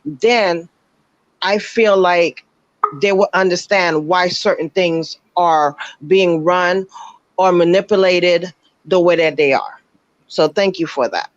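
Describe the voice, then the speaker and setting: A woman calling into a live show, her voice raspy from just waking up, talking earnestly with halting restarts. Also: on the low side, no room sound. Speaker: caller. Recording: dull, dry call-in line.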